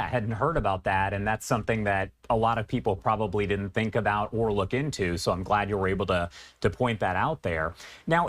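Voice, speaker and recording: A man working as a news anchor, putting questions to a guest in a live interview. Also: on the low side.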